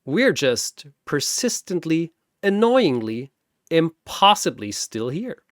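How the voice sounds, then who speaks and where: Storybook pirate hero, played by a male narrator: battered, cheeky, smug, pitched up, dry sound. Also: very animated, slow, no room sound. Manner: smug, quippy. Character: cocky, battered comic pirate underdog, male. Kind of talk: storybook-style character voice.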